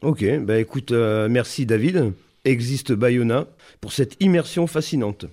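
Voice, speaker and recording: A man's voice: medium pitch, unhurried, darkish tone. A man hosting a podcast interview, prompting his guest and finishing his thoughts. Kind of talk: interview questions.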